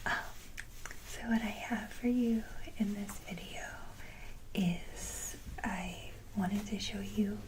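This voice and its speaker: A woman hosting an ASMR video, speaking casually in a breathy, gentle whisper, in short halting phrases. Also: mid-range voice, fairly even intonation, background noise. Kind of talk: soft-whispered ASMR, casual show-and-tell.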